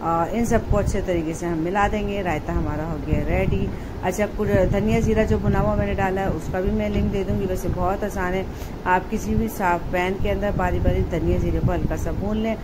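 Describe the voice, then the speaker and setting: A woman's voice: medium pitch, fairly even intonation, a little fast, heavy background noise. A woman narrating a home cooking tutorial in a casual, chatty, explanatory way. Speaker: home cook, cooking channel host.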